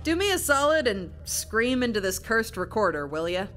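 Brash, bright, high-voiced adventurer. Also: female voice, big pitch swings, moderate pace, soft background music. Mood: sarcastic. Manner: punchy, casual, irreverent. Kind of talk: scripted video game character dialogue.

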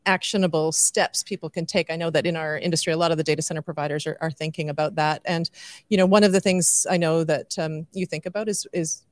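A woman's voice: low voice, fairly even intonation, fast talker, dry recording. A middle-aged woman interviewing a guest in a conversational way on a handheld microphone. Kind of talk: conversational trade-show interview. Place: indoor interview booth at a conference.

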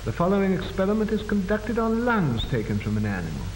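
A man's voice: sits high, huge pitch swings, a little hiss or hum. Echoey vintage 1940s male film narrator. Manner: formal, measured, precise enunciation.

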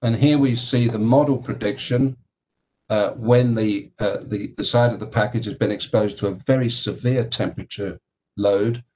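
Halting man giving a technical lecture. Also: lowish voice, steady tone, darkish tone, slight background noise. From United Kingdom. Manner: halting, many fillers and repeated words. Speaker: keynote speaker, engineering professor. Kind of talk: technical conference presentation.

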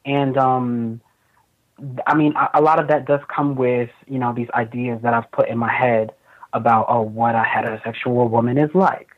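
A young man with a fairly high voice, speaking thoughtfully as an interview guest with many fillers. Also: little pitch movement, normal speed. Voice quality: relatively high voice. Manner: thoughtful, frequent fillers, laughs easily. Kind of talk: casual conversation. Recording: tinny phone-like video call audio.

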